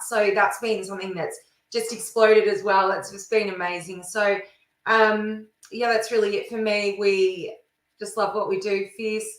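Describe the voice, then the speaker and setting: A woman speaking as a guest on a video call, talking enthusiastically about her advocacy group's services. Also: mid-range voice. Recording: echoey room.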